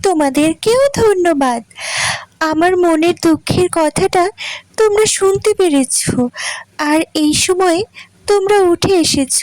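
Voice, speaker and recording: Woman as a high-voiced, earnest mother bird. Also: a little mellow, no room sound, poor audio. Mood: grateful, happy. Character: tender, anxious mother tailorbird in a storybook cartoon. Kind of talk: children's cartoon story voice acting. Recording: music under the voice.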